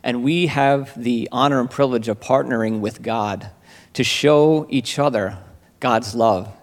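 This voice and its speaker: A man's voice: mid-range voice, measured pace. A man giving a grateful, heartfelt testimony to a church congregation, speaking with some hesitant fillers, in a reverberant sanctuary.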